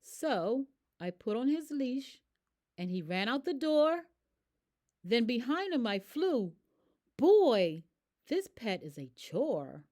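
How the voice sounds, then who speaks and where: A woman voicing an eager, easily exasperated little girl telling a rhyming picture book story in an animated, expressive way. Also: a little high, takes it slowly, voice pushed up.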